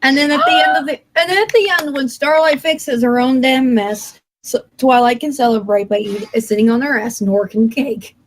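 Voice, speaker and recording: A woman's voice: high voice, moderate pace, hardly any pauses, slight background noise, rough recording. A woman panelist bantering casually with other fans, with some mild swearing. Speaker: podcast panelist. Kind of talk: casual, sweary fan chat.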